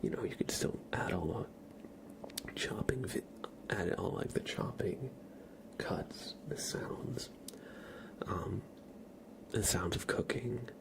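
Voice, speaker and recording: A man streaming ASMR, chatting casually to viewers in a soft, breathy whisper with a slightly stuffy nose. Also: sits low, slow pace, pauses often, low-quality recording. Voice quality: breathy whisper with a creaky edge. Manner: soft-spoken, rambling, casual.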